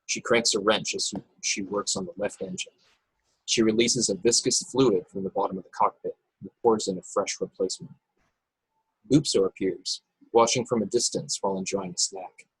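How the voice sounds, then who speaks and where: A man reading stage directions as scripted narration in a live table read, with a husky voice. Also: on the low side, lively intonation, normal speed, dry recording, rough recording. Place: remote video call, dry sound.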